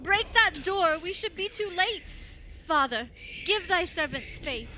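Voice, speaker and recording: A woman's voice: extremely high pitch, expressive, a little slow, pushed and bright, a little hiss or hum, exaggerated voice. An actress playing a grave, devout woman in an old-time style radio horror drama. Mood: urgent, praying. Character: grave, devout woman guide fearfully invoking God.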